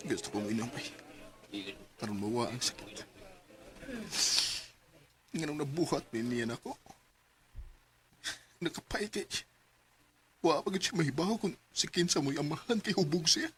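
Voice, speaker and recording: An actor playing a heartbroken young man in a radio drama, talking emotionally and turning from shouts to mutters as he drinks to forget. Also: a little high, a little slow, long pauses, poor audio. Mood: bitter.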